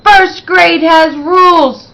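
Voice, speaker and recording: A woman's voice: very high-pitched, fairly even intonation, slow pace, gravelly, sharp tone, pitched above the performer's own voice, exaggerated voice, low-quality recording. A woman voicing a stern, rule-bound female first-grade teacher in a storybook, with an emphatic, scolding manner.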